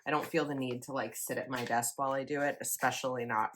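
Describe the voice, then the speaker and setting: Young woman vlogger, low voice, slightly froggy, rambling and casual. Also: fairly even intonation, quick pace, a little hiss or hum. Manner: chatty, rambling with likes and ums. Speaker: planner vlogger. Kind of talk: casual chat while decorating a planner.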